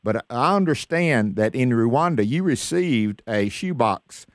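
A man with a mid-pitched voice works as the host of an interview program. His delivery is that of a radio presenter, recorded very dry with no room sound. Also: animated, a little slow, no room sound. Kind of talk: radio interview show hosting.

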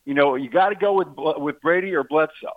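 A man's voice: a little high, fairly quick, no room sound. Man talking over a tinny phone line. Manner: talkative, uses sports analogies. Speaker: guest, political show host. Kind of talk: phone-in political discussion.

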